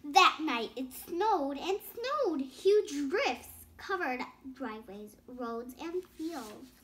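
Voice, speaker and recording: A woman's voice: sits high, expressive, slow pace, a bit bright, a little hiss or hum. A young girl reading a bedtime story aloud with casual explanatory asides, stumbling over words and rereading phrases. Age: child.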